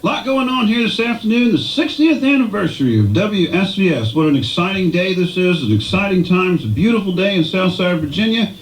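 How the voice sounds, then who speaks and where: A man hosts on radio with folksy, emphatic announcing. His high voice swings widely in pitch and rings with noticeable echo. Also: expressive, brisk, few pauses. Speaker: radio host. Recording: echoey room.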